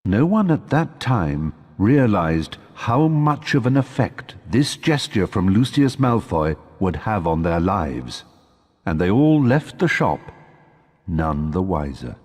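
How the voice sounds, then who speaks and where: A man's voice: low voice, big pitch swings, takes it slowly, darkish tone. A man reading scripted storybook narration in a recording with long reverb.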